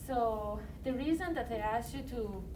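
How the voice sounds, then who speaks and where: A woman's voice: on the high side, measured pace. A woman from Iran with an Iranian accent, giving a chemistry lecture in a university classroom in a loose, conversational way full of "you know" and "like". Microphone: iPad recording from a distance. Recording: distant mic, noisy classroom.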